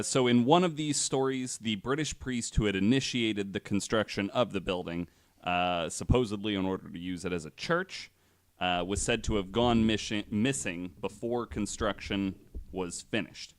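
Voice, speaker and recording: Guy podcast host w a smooth lowish voice, dry close sound, rambly casual storytelling, swears a bit. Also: no echo.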